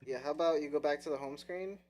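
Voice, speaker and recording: A man's voice: on the high side, fast, darkish tone. A man streaming, chatting casually over a game, brash and profane, repeating himself as he talks. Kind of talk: casual gaming chat. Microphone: game controller mic.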